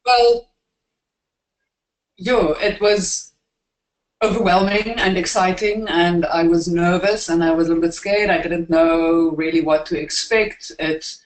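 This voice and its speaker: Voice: mid-range voice, fairly even intonation, a little slow. South African student in an echoey room. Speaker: student panelist. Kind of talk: casual panel chat.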